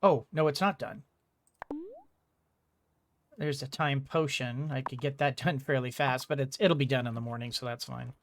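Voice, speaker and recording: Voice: mid-range voice, moderate pace, long pauses. A game streamer giving casual commentary, rambling and thinking aloud while playing.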